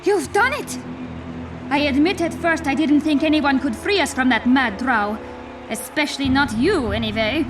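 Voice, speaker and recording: A woman's voice: high voice, normal speed, close to an everyday voice. A woman playing a cautious fantasy clanswoman with a formal way of talking. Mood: grateful, cautious. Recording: distant mic under music.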